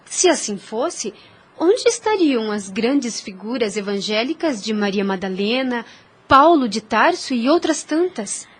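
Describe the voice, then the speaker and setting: Woman doing high voiced devout young lady in a radio play, gentle, earnest, kinda preachy. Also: big pitch swings, slightly rough, exaggerated voice. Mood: reassuring. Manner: preachy, measured, formal. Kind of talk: audio drama acting.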